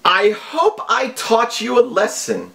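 Guy putting on an over the top excitable hacker scammer, high voice swinging all over, drags words out, comic reading. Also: vocal fry, heavily acted. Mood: dramatic, insistent. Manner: overwrought, exclamatory, drawn-out words. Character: melodramatic, excitable male scam-email hacker making threats.

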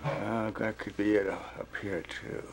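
An older man giving casual, halting, folksy commentary in a musing way, off the cuff as a documentary narrator. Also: fairly low pitch, fairly even intonation, vocal fry, slight background noise, poor audio.

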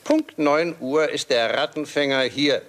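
An actor playing a stern, commanding old English lord in a German radio crime play, speaking deliberately and with authority. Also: male voice, a little high, a bit bright. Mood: grave, controlled. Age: older.

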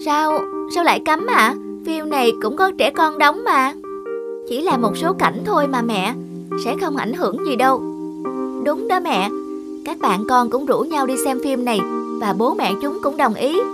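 A high, childlike, pleading cartoon girl. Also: expressive, moderate pace. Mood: whiny protest. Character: eager, pleading young girls, childish and easily upset. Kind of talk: animated cartoon dubbing. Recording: loud music behind the voice.

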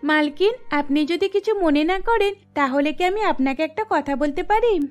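Woman playing a high-voiced worried, gentle mother. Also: normal speed, soft background music, natural voice. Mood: deferential. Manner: soothing, apologetic. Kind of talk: cartoon dialogue.

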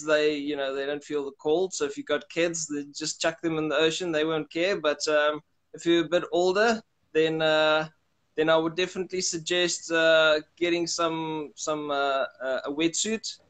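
A young man with a South African accent talks over a webcam call. His voice sits slightly higher, and he rambles casually with frequent restarts. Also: normal speed. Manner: relaxed, rambling with many restarts. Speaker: guest. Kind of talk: casual video call chat. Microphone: webcam on video call.

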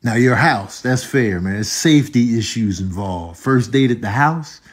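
An American man, a comedian doing slangy, mock-indignant comic commentary with drawn-out exclamations. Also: medium pitch, animated, slightly rough. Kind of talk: comedic voiceover commentary, riffing.

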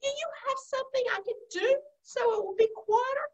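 Woman doing a fretful, high-pitched little spider. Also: a little fast, far from the mic, lo-fi sound. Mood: pleading. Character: fretful little female spider, easily bothered.

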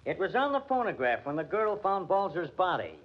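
An actor playing a gruff, bullying police detective in 1940s radio style, brusque and slangy. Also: male voice, high voice, very animated, brisk. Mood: suspicious, curt. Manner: brusque, slangy tough-guy talk. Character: gruff, bullying, impatient police detective who hates music. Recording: muffled, hissy 1940s radio recording.

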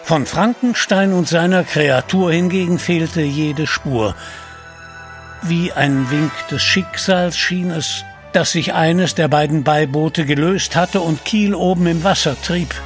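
Slow male storyteller, slightly high voice. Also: soft background music. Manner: measured, solemn storytelling. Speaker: narrator. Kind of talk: scripted dramatic story narration.

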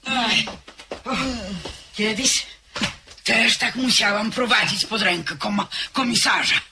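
A woman's voice: medium pitch, slow, noisy background. A woman playing a talkative, gossipy servant in a radio drama, telling a story with theatrical flair. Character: chatty old female servant gossiping.

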